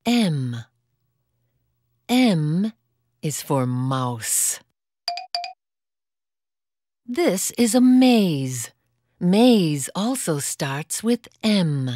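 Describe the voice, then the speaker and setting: A woman giving scripted children's educational narration, gentle and patient, asking toddlers simple questions. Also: sits low, dry recording, studio quality. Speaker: narrator of a children's alphabet video. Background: children's background music.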